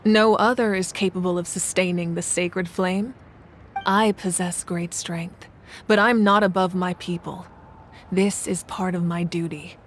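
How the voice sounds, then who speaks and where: An actress playing a proud, resolute female ruler in a video game, speaking in a measured, solemn and commanding way. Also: fairly low pitch, steady tone, a little hiss or hum, lightly acted. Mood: solemn resolve. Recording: music behind the voice.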